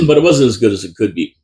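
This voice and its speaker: A man's voice: mid-range voice, vocal fry, warm tone, slightly rough. A man hosting a live local radio talk show from a small studio, chatty and rambling with lots of restarts. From Canada. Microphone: studio microphone.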